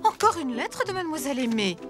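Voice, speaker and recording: A firm cartoon elephant mom. The voice is fairly high and animated, motherly in tone, with music underneath. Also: fast. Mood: stern. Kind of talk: French cartoon dub acting. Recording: music behind the voice.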